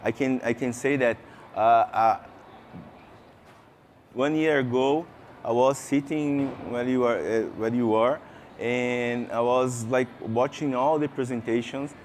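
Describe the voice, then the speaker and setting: A man's voice: medium pitch, fairly even intonation, darkish tone, slight background noise. A man from Brazil with a Brazilian accent, giving a conference talk. He talks with lots of fillers and restarts and asks the audience questions. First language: Portuguese. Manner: halting, many fillers and restarts. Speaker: conference speaker. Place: conference session room.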